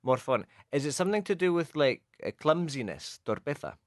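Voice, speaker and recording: A man co-hosting a casual bilingual football podcast, chatty and quick to laugh, with lots of hesitant restarts. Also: on the high side, sing-song, no room sound, studio quality. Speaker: co-host teaching English.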